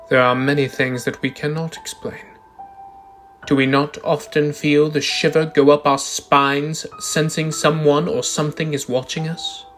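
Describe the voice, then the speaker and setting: A man plays a grave narrator in a radio horror play. He speaks in a medium pitch, formal and suspenseful, with faint music underneath. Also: fairly bright tone, natural voice. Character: grave male narrator presenting evidence of vampire horrors. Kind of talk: scripted radio drama narration.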